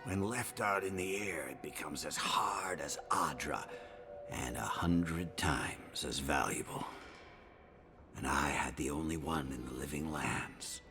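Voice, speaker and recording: Slow, deep old boastful hero. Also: male voice. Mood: confiding, pleading. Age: older. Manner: rambling, boastful storytelling. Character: sick old braggart hero, wistful, weary. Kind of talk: fantasy video game acting. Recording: music behind the voice.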